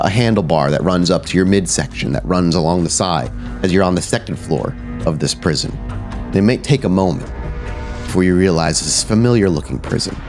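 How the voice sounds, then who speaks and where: A man working as game master, improvising suspenseful, deliberate narration for a horror tabletop roleplaying game. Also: sits low. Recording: music behind the voice. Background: background music.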